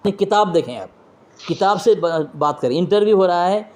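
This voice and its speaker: A man's voice: high-pitched, lively intonation, moderate pace, darkish tone. A man interviewing and debating religion on a phone call, pressing his questions insistently and quoting from books. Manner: quotes from books and scripture.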